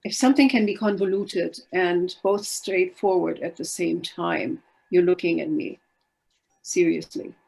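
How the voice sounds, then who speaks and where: A woman's voice: fairly low pitch, fairly even intonation, measured pace, creaky, darkish tone, rough recording. An older woman from Germany whose first language is Polish, telling her life story in a chatty, wry and self-deprecating way on a video call. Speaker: guest speaker. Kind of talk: casual storytelling talk.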